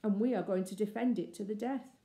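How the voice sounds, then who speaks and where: A woman's voice: mid-range voice, fairly quick, warm tone, lightly acted. A woman voicing a clever, bold schoolboy in a storybook, confident and declamatory. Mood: dark, heroic. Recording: roomy, echoey indoor sound.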